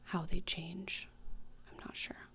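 A young woman playing a gloomy, morbid gothic teenage schoolgirl in a whispered ASMR roleplay, deadpan, deliberate and disdainful, close to the microphone. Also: lowish voice. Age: young adult. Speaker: ASMR creator. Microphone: close desktop microphone, seen.

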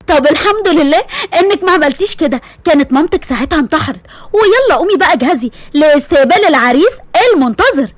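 A woman's voice: high voice, lively intonation, fast talker, no echo. A woman voicing a playful, teasing young female friend with an Egyptian accent. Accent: Egyptian Arabic.

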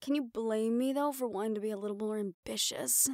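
A woman's voice: fairly high pitch, fairly quick, a little mellow, dry recording, studio quality, lightly acted. A woman playing a mafia boss's proud, frustrated personal assistant in an intimate roleplay audio drama, with an exasperated, self-reproachful manner. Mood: exasperated, reluctant.

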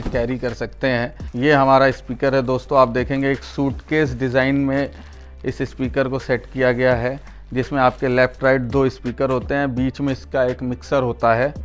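An Indian man hosting a product unboxing and demonstration, explaining things step by step to viewers, often repeating his points and addressing them in a friendly, familiar way. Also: medium pitch. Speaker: product reviewer and channel host.

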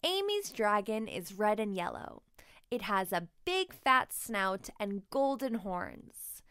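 A woman reading a children's story aloud expressively, with deliberate, emphatic phrasing. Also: medium pitch, sing-song, a bit bright, clear, resonant tone, dry recording, clean studio sound. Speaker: children's book narrator.

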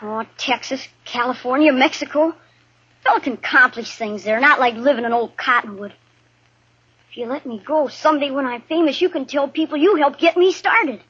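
A woman's voice: sits high, moderate pace, fairly bright tone, breathy edge. A woman playing an eager, boastful young runaway boy in a 1950s radio western, with a rustic drawl and folksy grammar. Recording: dull vintage radio recording.

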